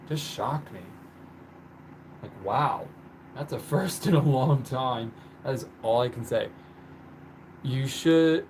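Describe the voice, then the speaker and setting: A man talks loosely into his stream, his voice sitting at a middling pitch with a lot of "like" and "um" between thoughts. Faint room noise hums underneath him as he chats at home. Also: darkish tone. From born in the country where he lives. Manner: chatty, rambling, with many "like" and "um" fillers. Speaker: livestream host. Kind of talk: casual livestream chat. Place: indoors at home, painting on the floor.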